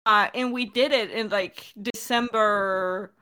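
A woman's voice: medium pitch, takes it slowly, sharp tone, poor audio. A woman hosting a podcast question-and-answer session in a casual, chatty style. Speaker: podcast host, director. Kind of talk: casual chat Q&A.

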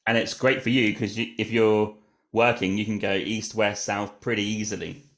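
A man's voice: on the low side, fairly even intonation, normal speed, a bit bright. Upbeat man hosting a travel podcast. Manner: upbeat, scripted introduction then casual questions. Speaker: podcast host. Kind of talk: podcast interview and intro.

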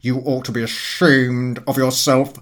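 A man voicing a rude, surly householder in a comic audiobook, speaking gruffly with indignant emphasis. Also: medium pitch, flat delivery, measured pace, a bit bright, close to an everyday voice. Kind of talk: audiobook character voice, comic Victorian novel.

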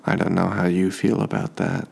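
A tired man hosting a sleep podcast, speaking softly in an unhurried, relaxed and understated ramble. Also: sits low, gravelly. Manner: slow, deliberately relaxed, understated. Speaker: podcast host. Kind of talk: soft-spoken rambling sleep podcast monologue.

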